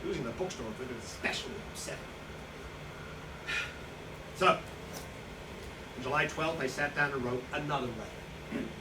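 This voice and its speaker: A man playing a self-pitying, self-justifying wartime profiteer in a staged reading, halting and indignant, with nervous chuckles. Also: a little high, distant mic, natural voice. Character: self-pitying, self-justifying Nazi-era profiteer who seized a bookstore. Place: live staged reading in a room. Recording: echoey, noisy hall recorded from afar.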